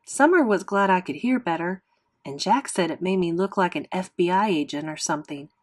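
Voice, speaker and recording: A woman's voice: lowish voice, expressive, fairly quick. A woman narrating a children's novel expressively as a classroom read-aloud. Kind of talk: scripted storybook narration.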